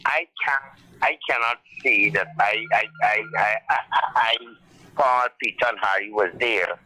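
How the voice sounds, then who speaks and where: A man calling into a radio show, halting and searching for his words. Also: lowish voice, pushed and bright, rough recording. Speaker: caller.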